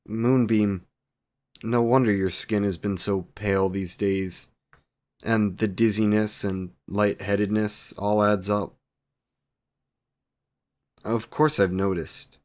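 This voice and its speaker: Man playing a slow-spoken, low-voiced courtly vampire boyfriend. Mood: gentle concern. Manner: archaic formal wording, tender endearments. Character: courtly, devoted 128-year-old male vampire boyfriend, youthful, doting. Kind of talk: scripted romantic comfort audio roleplay.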